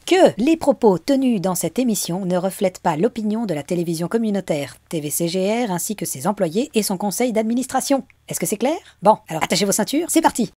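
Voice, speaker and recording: A woman reading a show disclaimer in a sardonic, energetic way. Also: medium pitch, fairly quick, few pauses. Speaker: show presenter. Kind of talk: ironic disclaimer.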